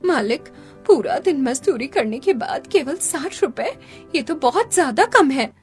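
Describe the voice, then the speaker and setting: A woman voicing a poor, hardworking, devoted mother in an animated story, earnest and pleading. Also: high voice, measured pace, soft background music.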